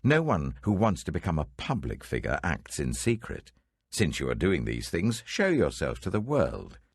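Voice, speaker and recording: Male reader as sceptical brother, goading, pointed, very low voice, lively intonation, scripture storytelling. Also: moderate pace, slightly rough, no echo, clean studio sound, heavily acted. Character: scornful younger brothers goading their kinsman. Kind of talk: dramatic scripture reading.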